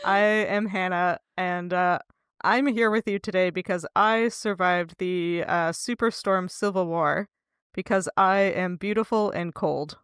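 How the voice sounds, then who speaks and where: A woman's voice: on the low side, measured pace, a bit bright, clean tone, no room sound. A woman co-hosting a book podcast, chatting casually and joking with dry mock-seriousness. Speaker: podcast co-host.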